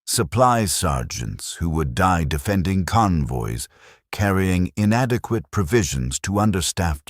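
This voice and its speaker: A man narrating a scripted first-person story in a solemn, measured, deliberate way, with cinematic gravity. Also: low voice, no echo, studio quality.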